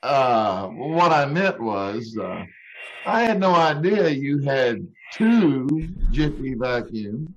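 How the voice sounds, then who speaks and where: Man acting a slow, flirty, baffled salesman. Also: medium pitch, very animated, muffled recording, a little hiss or hum. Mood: puzzled. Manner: hesitant, chuckling, throat-clearing. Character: smooth-talking flirtatious salesman, easily baffled. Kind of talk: old-time radio comedy acting.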